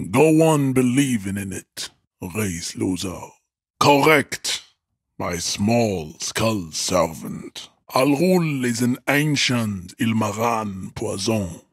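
An actor voicing a huge, arrogant supremacist strongman in a video game, pompous and contemptuous, with deliberate enunciation and a husky voice. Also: medium pitch, no echo, clean studio sound. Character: huge, arrogant, pseudo-scientific supremacist strongman guarding a bridge. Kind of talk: video game character voice acting.

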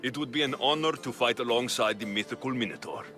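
Casting: male actor as loyal game warrior, mid-pitched, bright and firm, dry humour, music underneath. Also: fast talker, lightly acted. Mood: teasing. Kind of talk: dramatic video game voice acting.

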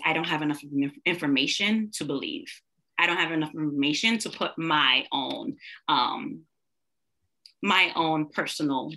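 Young woman, low pitch, bright edgy tone, reflective, video call. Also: rough recording. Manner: reflective, frequent "um" and "like". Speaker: teacher and student. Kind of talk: town hall video call. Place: indoor room at home.

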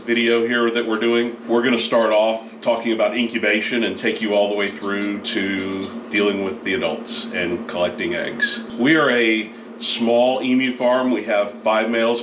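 Man in his forties, congested rough voice, folksy emu farmer, roomy small hatchery, humming air conditioner. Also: on the low side. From northern Florida. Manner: folksy, talkative, explains while demonstrating. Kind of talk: casual how-to farm video. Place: small hatchery room indoors. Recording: echoey small room, air conditioner hum.

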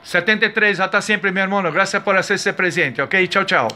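A man's voice: sits high, fairly quick, bright, forceful tone. A man from Brazil with a Brazilian Portuguese accent, making a friendly, casual ham radio contact and giving call signs. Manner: friendly, cordial. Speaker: amateur radio operator.

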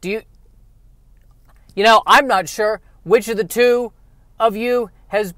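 Casting: male actor for anxious, sarcastic straight man, stammering and exasperated, slightly high voice, radio comedy. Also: slow, fairly bright tone, dry recording. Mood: incredulous. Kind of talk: comedic sci-fi radio play acting. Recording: noisy recording.

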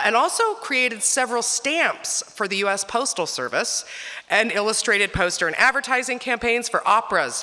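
A woman's voice: lowish voice, bright, forceful tone. A middle-aged woman hosting an event, introducing a guest from a lectern microphone and reading from notes with small fillers. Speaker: event host. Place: auditorium stage at a lectern. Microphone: podium microphone. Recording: reverberant auditorium.

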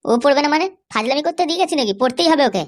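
A woman's voice: high voice, lively intonation, very fast. A woman voicing a boastful, defiant schemer in a comedy cartoon, full of confident threats. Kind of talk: exaggerated cartoon dialogue.

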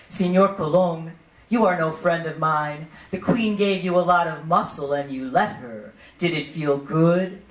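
A woman in her thirties performing spoken-word poetry in a declamatory, deliberate way with dramatic pauses, on a handheld microphone in a hall. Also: on the low side, fairly bright tone. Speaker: poet. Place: indoor hall, on stage. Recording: roomy live hall sound, music behind.

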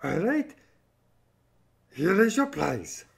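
A furry cartoon monster for a children's show. The voice is raised, creaky and husky, with swooping, chirpy delivery and friendly, playful exclamations. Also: male voice, high voice, sing-song, slow, voice pushed up, full character voice.

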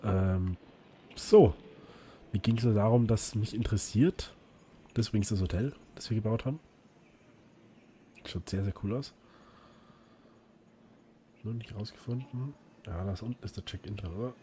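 Let's play host, male, a bit hoarse, chatty and rambling, faint hiss behind. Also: on the low side, moderate pace, long pauses, warm tone. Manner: chatty, rambling, frequent fillers and restarts. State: slightly hoarse from illness. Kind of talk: casual gameplay commentary.

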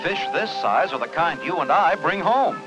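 1950s American newsreel narrator, man, over loud music. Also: on the high side, expressive, moderate pace, muffled recording. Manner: dramatic, folksy showmanship. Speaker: documentary narrator. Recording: old 1950s film soundtrack, loud music behind. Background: loud background music.

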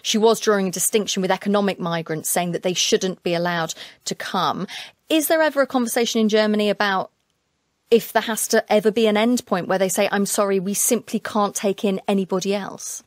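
A woman hosting an international radio discussion show, speaking in a measured, clear presenter style. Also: mid-range voice, no room sound, studio quality. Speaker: radio host. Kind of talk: broadcast news discussion.

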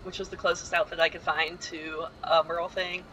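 A woman narrates a game walkthrough in a loose, chatty way, wandering off on asides and filling pauses with ums. Her voice is bright and forward, with a faint hiss of noise under it. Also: on the low side, flat delivery, rough recording. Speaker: video game tour guide. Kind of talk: casual gameplay voice-over tour.

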